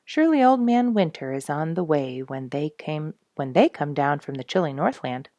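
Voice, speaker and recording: A woman voicing a mild, sensible father bluebird in a children's storybook, speaking in a measured, earnest way. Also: low voice, expressive, mellow, no echo, muffled recording. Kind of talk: children's storybook character reading.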